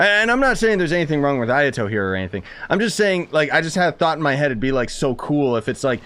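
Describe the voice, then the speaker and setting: A man's voice: medium pitch, a bit bright. A man chatting informally to viewers as a game streamer, rambling and thinking aloud. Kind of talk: casual live-stream commentary, chatting with viewers.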